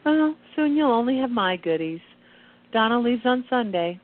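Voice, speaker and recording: Over a thin, phone-like line comes a kittenish angel, acted by a woman. She is coy and teasing, with pitch that swoops playfully in radio play style. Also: expressive, normal speed, a little hiss or hum, close to an everyday voice. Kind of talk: amateur radio play acting.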